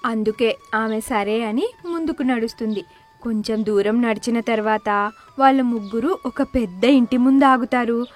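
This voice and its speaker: A woman narrates a scripted story in a high-pitched voice. Music plays softly behind her narration. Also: moderate pace.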